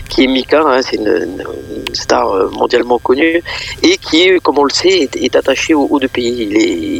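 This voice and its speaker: A man speaking off the cuff as a festival organiser and guest in a radio interview, halting and often repeating words mid-phrase. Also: medium pitch, vocal fry, rough recording.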